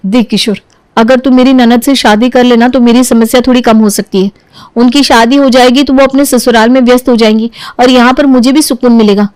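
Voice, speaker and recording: Woman acting a meek, high-voiced young daughter-in-law. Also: fairly even intonation, brisk, darkish tone, clear, resonant tone, no echo, lightly acted, rough recording. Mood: earnest, scheming. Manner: deferential, earnest.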